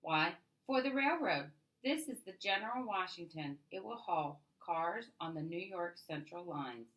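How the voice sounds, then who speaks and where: A friendly machine-shop mechanic from a children's storybook, as a woman reader puts him on. He is encouraging and obliging, and the voice is bright and forceful. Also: fairly low pitch, expressive, measured pace. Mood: informative, obliging.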